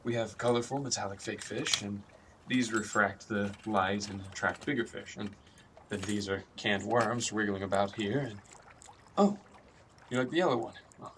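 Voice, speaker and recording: A man's voice: on the low side, normal speed, reverberant, distant mic, noisy background, lightly acted. An actor in a radio drama playing an educated, ambitious male doctor, patient but proud and irritable, often hesitant and muttering. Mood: patient, instructive. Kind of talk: radio drama acting with inner monologue.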